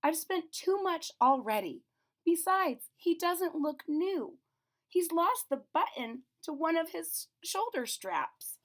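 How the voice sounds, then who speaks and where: Reader doing a tired storybook mom, woman, sighing and gentle, voice way up high. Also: expressive, takes it slowly, exaggerated voice. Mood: weary refusal. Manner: sighing, explanatory. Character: weary mother gently refusing her child.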